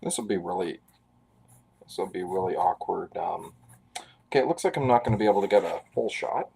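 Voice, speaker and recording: A man's voice: low voice, expressive, a little fast, gravelly, warm tone, breathy edge. A man in his forties from Canada, chatting casually and rambling with self-deprecating asides while he draws on a live stream. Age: 40s. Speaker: artist live-streaming a drawing.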